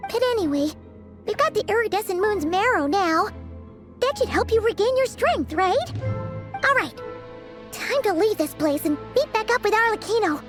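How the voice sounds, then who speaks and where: A woman voicing a tiny, excitable, childlike fairy companion in a video game. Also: moderate pace, faint music behind. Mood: curious, hopeful. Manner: childlike, squeaky, refers to herself by name. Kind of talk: cartoonish video game voice acting.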